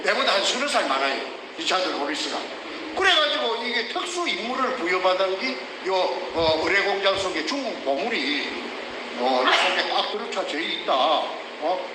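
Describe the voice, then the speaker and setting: An older man speaks in a thick Gyeongsang accent, his high voice swinging widely as he argues with heat and fires off rhetorical questions. He sits well back from the mic in an echoey room, with a steady noise underneath. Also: moderate pace, recorded from a distance. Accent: Gyeongsang Korean. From South Korea. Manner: heated and emphatic, repeatedly shouting rhetorical questions. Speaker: whistleblower. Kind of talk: emotional testimony.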